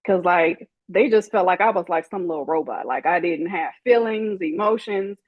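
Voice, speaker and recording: A woman's voice: on the low side, normal speed, no echo, lo-fi sound. A woman in her forties, a guest in an interview, speaking candidly in a sassy, emphatic way and acting out the people she quotes. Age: 40s.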